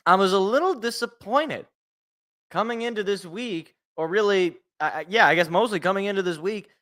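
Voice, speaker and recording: An American man with a slightly raspy, congested voice, hosting a casual solo sports talk show in a rambling, jokey way that repeats phrases for emphasis. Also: high voice, normal speed, pushed and bright. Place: indoors at home.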